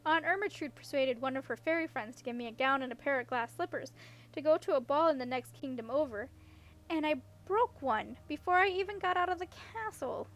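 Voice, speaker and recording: A woman's voice: high voice, a little fast, a bit bright, faint music behind. A woman voicing an awkward, unlucky young princess in a storybook, earnest and rambling as she explains herself. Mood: rueful. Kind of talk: audiobook character voice.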